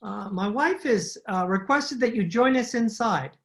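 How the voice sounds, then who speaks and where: A man playing a formally polite, quietly sinister séance host in an old-time radio drama, over distant-sounding call audio. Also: sits high, expressive, unhurried, full character voice. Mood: polite, halting. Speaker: amateur radio drama player.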